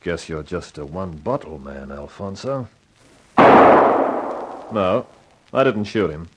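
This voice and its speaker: An actor playing a self-assured, slangy, wry amateur sleuth in a 1950s radio crime drama. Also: male voice, low-pitched, expressive, takes it slowly, heavy background noise. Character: wisecracking, hard-boiled male amateur sleuth, self-assured.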